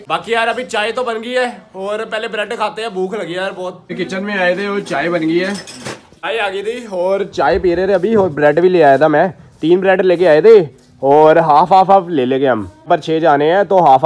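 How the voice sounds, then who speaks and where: A young man in his twenties with a fairly high voice talks casually into a phone held at arm's length, vlogging his day. He chats on easily, his throat a little sore. It sounds like a small room at home. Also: moderate pace. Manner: chatty, narrating his day to the camera. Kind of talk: casual vlog chat.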